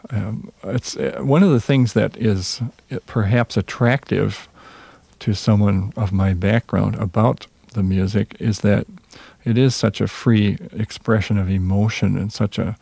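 Gravelly, soft-spoken Minnesota man. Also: lowish voice, steady tone, dry recording. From Minnesota, USA. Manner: hesitant, reserved, many pauses and fillers. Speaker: jazz musician guest. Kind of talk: reflective interview answers.

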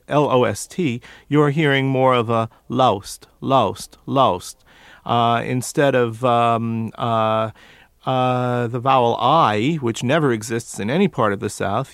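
A man's voice: mid-range voice, dry recording. Middle-aged accent coach guy, repeats words to show sounds, dry close sound, medium voice. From New York. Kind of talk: radio interview, explaining and demonstrating pronunciation.

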